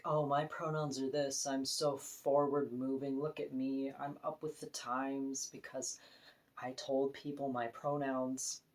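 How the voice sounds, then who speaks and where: A woman with a deep voice, talking frankly and casually to camera in an opinion vlog, now and then laughing softly. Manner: frank, conversational, with soft laughs. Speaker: vlogger.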